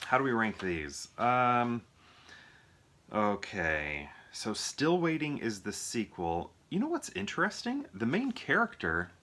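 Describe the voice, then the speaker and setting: A man with a fairly low voice and a bright, crisp-edged tone talks casually to his viewers. He rambles through an impromptu movie review, thinking aloud and often putting questions to himself as he goes. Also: slight background noise.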